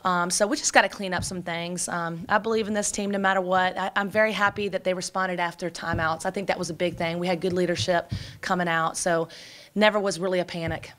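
Woman, forties, basketball coach, postgame press conference, quick and energetic, many fillers, table microphone. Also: fairly low pitch. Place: press room before a sponsor backdrop. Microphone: table microphone in front.

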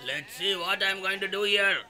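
Sharp, scornful Indian customer. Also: male voice, high voice, fairly even intonation, moderate pace. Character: scornful, suspicious, bullying male sheep customer. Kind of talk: comic film dub acting.

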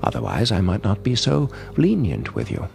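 A man's voice: on the low side, wildly swooping pitch, normal speed, vocal fry, darkish tone, soft background music, exaggerated voice. A man playing a menacing, urbane crime lord in a comic-book audio drama, speaking with suave, mock-polite formality. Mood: suave menace.

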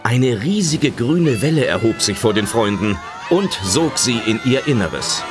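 A man's voice: mid-range voice, big pitch swings, a little slow, over loud music. A man narrating a scripted children's adventure audio drama in a storytelling style.

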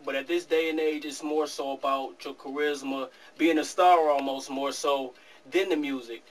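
A man's voice: on the high side, steady tone. An eighteen-year-old man, a young rapper, answering interview questions earnestly in a small studio office. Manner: fast, earnest, laughs easily. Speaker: rap artist guest. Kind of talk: casual interview. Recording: distant camera mic with faint room noise.

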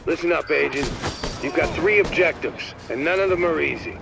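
A man playing a gruff, combative field captain who speaks in brisk orders. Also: fairly high pitch. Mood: commanding. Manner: brisk, commanding.

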